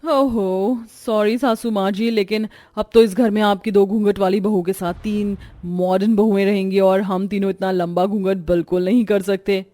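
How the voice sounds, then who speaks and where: A woman's voice: medium pitch, steady tone, normal speed, hardly any pauses, dark tone, dry recording, soft background music, close to an everyday voice. A woman voicing a brash young modern daughter-in-law in a moral-story cartoon, cheeky and defiant.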